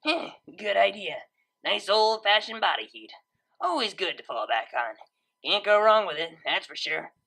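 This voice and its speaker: Woman voicing a brash, creaky-voiced young unicorn DJ. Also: medium pitch, big pitch swings, moderate pace, pushed and bright, full character voice. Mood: joking. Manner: casual, slangy, teasing.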